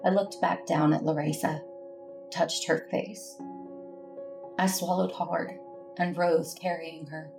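A woman's voice: low-pitched, level tone, slow pace, gravelly, long pauses. A woman narrating a drama in the first person, grave and grieving. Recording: music under the voice.

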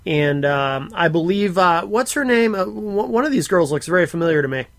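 A man's voice: high-pitched, expressive, normal speed. A man hosting a radio show, interviewing a guest in a casual, chatty style.

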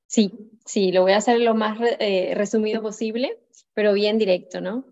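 A woman's voice: mid-range voice, steady tone, moderate pace, dark tone, highs cut off. A Venezuelan woman in her thirties, a podcast guest telling her personal story in a reflective way over a remote call. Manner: reflective, many fillers and restarts.

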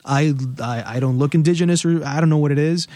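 A man, a guest film critic talking and explaining in a casual podcast chat, animated and quick to laugh. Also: on the high side, no echo, studio quality. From United States.

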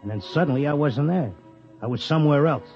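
A man's voice: a little high, a little fast, lightly acted. A man playing a streetwise, cynical racetrack clocker who narrates with wisecracking slang in a 1950s radio drama. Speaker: narrator and lead character. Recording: dull 1950s radio recording with music.

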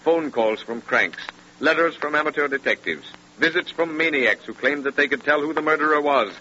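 A man's voice: fairly high pitch, normal speed, sharp tone. A man narrating a scripted 1930s radio drama in a grave, theatrical manner. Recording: noisy, dull 1930s radio recording.

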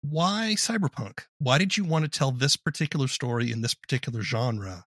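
A man's voice: mid-range voice, lively intonation, moderate pace, a bit bright, dry recording, clean studio sound. A man hosting a podcast interview, summarizing and asking questions in a conversational way.

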